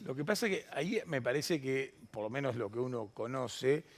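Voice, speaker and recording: A man's voice: medium pitch, expressive, normal speed, a little hiss or hum. A man, moderating a conference panel and speaking off the cuff. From Latin America. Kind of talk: unscripted conference panel discussion.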